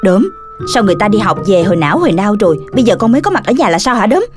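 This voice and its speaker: A woman's voice: medium pitch, very animated, racing, close to an everyday voice. A woman voicing a strict, scolding mother dog in a children's cartoon, nagging and emphatic, with a Southern Vietnamese accent. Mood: angry scolding. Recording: music behind the voice.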